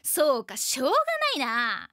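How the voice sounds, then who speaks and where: A woman's voice: fairly high pitch, moderate pace, a bit bright, no echo, clean studio sound. A woman playing a cocky, eccentric, bossy teenage girl in a radio comedy drama, making blunt, grandiose declarations. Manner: blunt, grandiose declarations, third-person self-reference.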